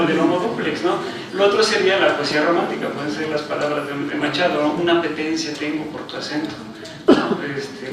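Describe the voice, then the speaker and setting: A man, a panelist giving an academic talk in a university lecture hall, reflective and hesitant, with frequent fillers and questioning tags. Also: on the high side. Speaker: book presentation panelist. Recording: echoey hall, picked up from far off, noisy.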